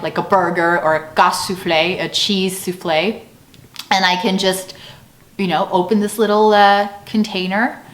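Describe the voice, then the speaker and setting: American woman doing a chatty vlog, mid pitched voice, bit echoey, expressive. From United States. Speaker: video creator. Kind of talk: casual explanatory vlog talk. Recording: roomy echo, faint background noise.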